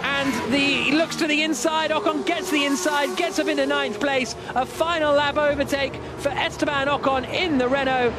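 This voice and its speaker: A man giving live, excitable, dramatic motor racing commentary from a commentary box. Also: extremely high pitch. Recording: loud engine noise behind. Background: race cars and engine noise.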